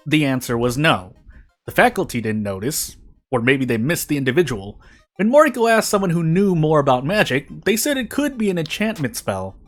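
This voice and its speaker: A man reading a story aloud in deliberate phrases with short pauses. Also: on the high side. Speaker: fan fiction reader on a video channel. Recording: music behind the voice.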